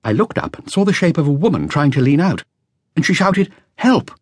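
A man's voice: fairly high pitch, very animated, brisk, a little mellow, dry recording, clean studio sound, pitched above the performer's own voice. A man voicing a nervous, earnest young male flautist telling what he witnessed. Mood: anxious. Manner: anxious, breathless, earnest.

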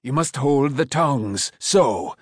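Storybook old smith, done by a male narrator: stout, gruff yet kindly, commanding, dryly teaching. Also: mid-range voice, lively intonation, slow, no echo, studio quality. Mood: instructing. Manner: instructive, wry, commanding. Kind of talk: storybook character voice.

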